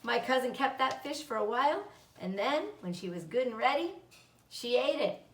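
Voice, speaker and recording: A woman voicing a calm, motherly female spider storyteller who speaks in a measured, encouraging way. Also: on the high side, slight background noise. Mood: storytelling. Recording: roomy, echoing, distant microphone.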